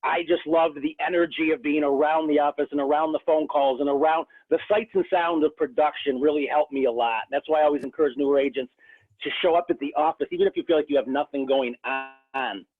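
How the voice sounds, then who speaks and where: A real estate agent from the Rochester, New York area, talking earnestly in a casual interview, with many restarts. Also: male voice, fairly high pitch, steady tone, brisk. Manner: earnest, frequent restarts and fillers. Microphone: phone. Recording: tinny phone line.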